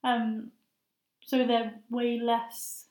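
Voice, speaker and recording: A young woman in her twenties with a high voice, a master's student answering interview questions in a hesitant, thoughtful way with many fillers. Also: little pitch movement, very slow, darkish tone. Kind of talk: casual conversational interview. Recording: roomy echo.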